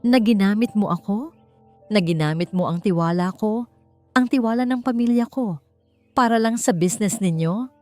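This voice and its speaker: A woman's voice: mid-range voice, moderate pace, long pauses, a little mellow, faint music behind, pitched above the performer's own voice, close to an everyday voice. Woman acting a trembling, heartbroken young Filipina, furious and betrayed. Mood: tearful fury. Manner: trembling, accusing, emotional.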